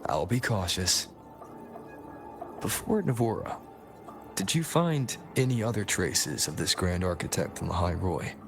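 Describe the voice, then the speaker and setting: Slow, gravelly, burdened young hero. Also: male voice, low voice, expressive. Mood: cautious, curious. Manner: hesitant, soft-spoken, introspective. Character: troubled, subdued young male hero burdened by regret. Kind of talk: scripted video game dialogue. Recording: background music under the voice. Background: game music.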